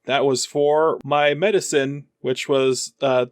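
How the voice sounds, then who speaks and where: Male tabletop player, middle pitch, informal group chat, very dry recording. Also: fairly even intonation, takes it slowly, no room sound. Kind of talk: casual chat while rolling dice.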